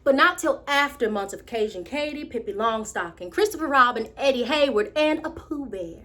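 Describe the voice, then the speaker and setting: Woman acting an excitable, high-voiced young American girl. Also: moderate pace. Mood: bright, eager. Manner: dramatic, wide swings from hushed confiding to exclaiming. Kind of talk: theatrical monologue, animated storytelling.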